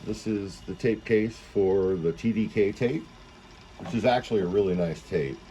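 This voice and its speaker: A man giving a casual, unscripted product demonstration, talking informally and haltingly as he thinks aloud. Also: fairly low pitch, dark tone, slight background noise, poor audio. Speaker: presenter demonstrating vintage audio equipment.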